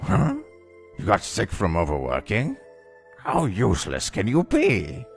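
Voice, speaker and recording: A man voicing a harsh, scornful company boss with a gravelly voice in a manga dub. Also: lowish voice, huge pitch swings, a little slow, soft background music, full character voice. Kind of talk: anime-style manga dub acting.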